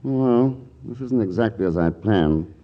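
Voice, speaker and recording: Suave low-voiced painter, old muffled radio. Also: male voice, lively intonation, measured pace. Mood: flustered, wistful. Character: suave, teasing, wistful male painter in romantic comedy. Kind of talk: 1940s radio comedy acting. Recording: dull vintage radio recording, music behind.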